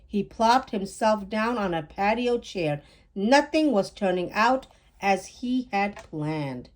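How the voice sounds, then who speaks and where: A woman's voice: medium pitch, expressive, slow pace. A woman hosting a children's storytime, reading aloud as a storyteller.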